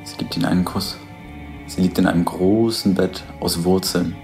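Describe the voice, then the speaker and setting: A man's voice: low voice, fairly even intonation, normal speed, darkish tone, faint music behind. An actor playing a matter-of-fact man who describes a dreamlike scene piece by piece in a radio drama. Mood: observing.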